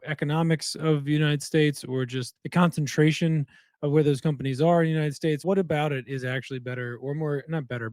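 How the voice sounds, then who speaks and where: A man's voice: medium pitch, a little mellow, no echo. Man, podcast host, casual, rambling questions, lots of fillers, dry close sound. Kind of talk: casual interview chat.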